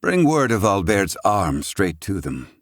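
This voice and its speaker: A man acting a proud, gruff, middle-aged inventor in a fantasy video game, with formal, haughty, theatrical diction. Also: deep voice, big pitch swings, unhurried, no room sound, studio quality, heavily acted. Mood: grave, aloof.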